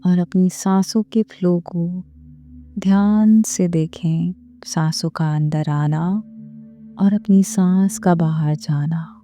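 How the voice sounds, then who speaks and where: Woman doing guided meditation, soft mellow voice, really slow n soothing, bit of music behind. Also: on the low side, fairly even intonation. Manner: gentle, measured, unhurried phrasing with pauses. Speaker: meditation guide and series host. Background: background music.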